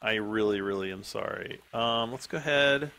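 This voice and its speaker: A man with a hoarse voice giving casual, rambling commentary while playing a game, often clearing his throat. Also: on the low side, normal speed, bright, forceful tone, slight background noise. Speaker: gaming YouTuber.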